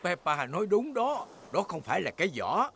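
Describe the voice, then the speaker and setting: Animated grandpa pig in a cartoon. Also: male voice, high voice, moderate pace, vocal fry, background noise, exaggerated voice. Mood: explaining warmly. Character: cheerful, playful grandfather pig, hammy and dramatic. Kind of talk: Vietnamese-dubbed children's cartoon acting.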